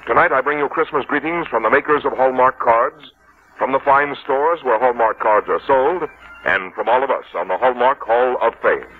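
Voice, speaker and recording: A man working as a radio announcer, reading scripted 1950s sponsor messages with formal, deliberate phrasing. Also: medium pitch, slightly rough. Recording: tinny narrowband vintage radio, music behind.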